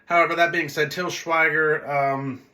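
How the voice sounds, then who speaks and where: A man's voice: a little high, steady tone, moderate pace, pushed and bright. A man hosting a movie review video in a casual, unscripted style, blunt and conversational, wandering into tangents.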